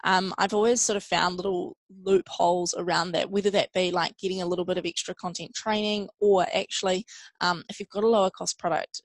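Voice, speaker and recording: Lower-pitched woman, casual and explanatory. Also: fairly even intonation, fairly quick, dry recording. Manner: conversational, explanatory. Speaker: social media expert guest. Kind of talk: casual interview answers.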